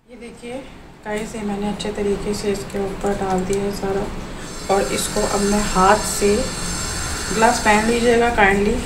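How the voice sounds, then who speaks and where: A woman chatting casually to her viewers while cooking at home, sprinkling in English words. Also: medium pitch. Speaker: home cook showing a recipe. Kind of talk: casual cooking vlog narration. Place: home kitchen. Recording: echoey room with clear household noise.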